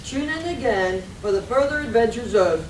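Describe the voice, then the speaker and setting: A woman's voice: fairly high pitch, animated, moderate pace, natural voice. A woman performing an arch, mock-dramatic narrator of a theatrical radio serial. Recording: echoey, noisy hall recorded from far off.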